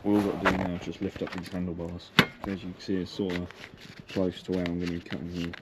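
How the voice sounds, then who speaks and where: Low-pitched, monotone young man. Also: fast talker, heavy background noise. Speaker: vlogger. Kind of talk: casual narration while working on bikes. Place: car, sheds and yard while working.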